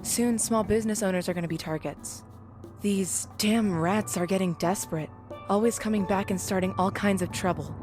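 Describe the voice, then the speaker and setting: A tough young ex-military security woman, played by an actress in an audio drama. She is blunt and serious, with a protective, grumbling edge and a middling pitch. Music plays clearly behind her. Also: moderate pace, darkish tone, lightly acted. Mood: fed up. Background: background music.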